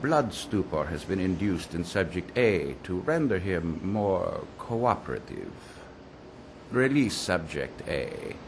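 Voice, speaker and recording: A calculating villain scientist in a video game, very deep and slow, with cold, formal control. Noisy ambience runs behind him. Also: male voice, very animated, gravelly, full character voice. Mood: clinical. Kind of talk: video game cutscene acting.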